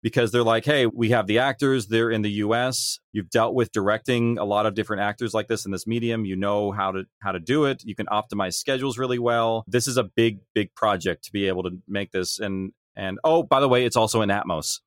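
A man's voice: on the low side, a little fast, hardly any pauses, dry recording, clean studio sound. A man giving reflective, casual answers as an interview guest who makes audio drama.

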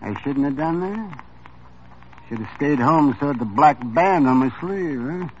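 Brief: showy, fussy shopkeeper, lively swooping delivery, 1950s radio drama, dull old broadcast sound. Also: male voice, a little high, unhurried. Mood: effusive. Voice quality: gushing, showy. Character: fussy, theatrical male cologne seller. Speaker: shop owner. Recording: muffled old radio recording with faint hiss.

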